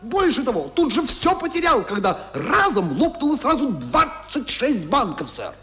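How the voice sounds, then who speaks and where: An actor playing a chatty, good-natured common fellow, theatrical and deferential, in a radio drama. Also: male voice, extremely high pitch, wildly swooping pitch, normal speed, pushed and bright, exaggerated voice. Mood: amused storytelling. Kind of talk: Soviet radio drama acting. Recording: 1977 radio play recording.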